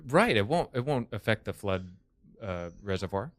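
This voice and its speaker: A man's voice: fairly low pitch, very animated, moderate pace, a bit bright, dry recording, clean studio sound. A man improvising a comedy sketch as a stubborn, indignant homeowner who argues back with smug defiance. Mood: stubborn scheming.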